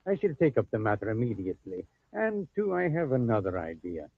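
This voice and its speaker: An actor playing a kindly, dignified mission steward, formal and courteous, in an old-time radio drama. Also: male voice, mid-range voice, wildly swooping pitch, fairly quick, gravelly, dry recording, narrow, tinny audio, exaggerated voice. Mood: courteous, assuring. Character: kindly, dignified major-domo of a Spanish mission. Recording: phone-thin vintage radio recording.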